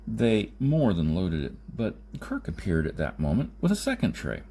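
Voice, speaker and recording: Mellow, low-voiced man reading fiction. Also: huge pitch swings, moderate pace, creaky, husky. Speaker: audiobook reader. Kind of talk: scripted narration of a science fiction story. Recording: noticeable background noise.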